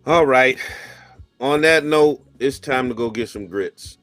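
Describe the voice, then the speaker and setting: A man's voice: medium pitch, fairly bright tone, soft background music. A man hosting a casual radio talk show, joking with his co-host and reading church announcements in a loose, playful way. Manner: loose, joking, drawn-out sign-offs.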